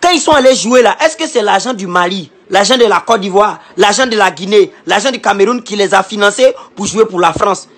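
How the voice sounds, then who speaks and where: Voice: mid-range voice, lively intonation, fairly quick, hardly any pauses. A speaker in a clip played inside a reaction video, arguing emphatically with rhetorical questions.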